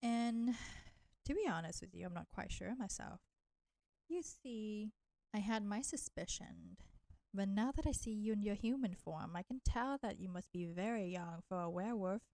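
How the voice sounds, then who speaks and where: Casting: woman as flirty female vampire for intimate roleplay audio, teasing and nurturing, creaky vocal fry, very dry sound. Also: medium pitch, animated, warm tone, no room sound, lightly acted. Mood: soothing, wry. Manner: slow, teasing, coaxing, addressing listener with pet names.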